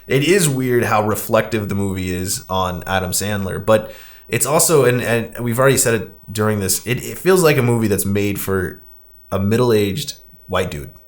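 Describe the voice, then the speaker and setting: A man hosting a podcast, discussing films casually in an animated, emphatic way. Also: lowish voice, moderate pace. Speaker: podcast host.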